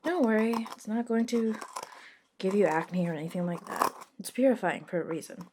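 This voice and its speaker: Woman doing asmr roleplay as a reluctant modern witch, soft and hushed, kinda wry and casual, noisy audio. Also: mid-range voice, moderate pace, natural voice. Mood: reassuring, focused.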